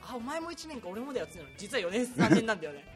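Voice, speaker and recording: Animated, fast-talking young man hosting over music. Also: very high voice. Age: young adult. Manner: animated, blunt, teasing banter. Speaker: podcast host. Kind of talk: casual joking chat among student friends. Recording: music playing behind the voice.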